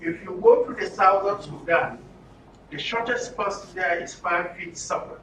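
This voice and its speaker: A man's voice: high voice, sing-song, moderate pace, gravelly, a bit bright. A man with a Nigerian accent leading a training session as an interactive lecture, asking rhetorical questions and repeating answers back. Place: indoor training room with audience. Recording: echoey room, distant mic, faint noise.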